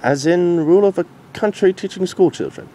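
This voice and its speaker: A man voicing a puzzled, earnest male Time Lord in an amateur cartoon fan-fiction reading, questioning and halting. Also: high voice, mellow, voice pushed up, lightly acted. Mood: baffled.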